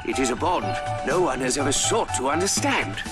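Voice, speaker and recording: A man's voice: medium pitch, expressive, a little fast, natural voice. Man playing a theatrical, eccentric scientist, music behind. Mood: earnest wonder. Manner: grandiose, dramatic, precise. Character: earnest, theatrical, eccentric male scientist doctor. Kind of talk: dramatic recorded diary narration in game.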